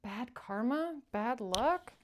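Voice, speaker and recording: A woman hosting a tarot reading, speaking spontaneously to viewers and repeating short phrases for emphasis between pauses. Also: on the high side, expressive, a little hiss or hum. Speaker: tarot reader and channeller, show host.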